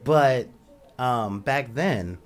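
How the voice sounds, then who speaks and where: A soft-spoken man hosting a podcast, talking casually in a rambling monologue full of ums and asides. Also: expressive, drawn-out words. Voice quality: says he has a high voice. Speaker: podcast host.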